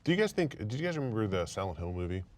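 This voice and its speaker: A man with a slightly low voice talks quickly in a casual panel discussion. He thinks aloud, doubling back on his words as he makes his point. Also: sing-song, darkish tone. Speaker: panelist on a film and gaming talk show.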